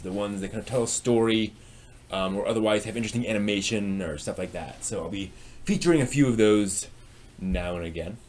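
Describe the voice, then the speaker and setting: A man's voice: on the low side, slight background noise. A man hosting a live stream, chatting casually and ramblingly as he responds to viewers. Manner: rambling, reacting to chat as it comes.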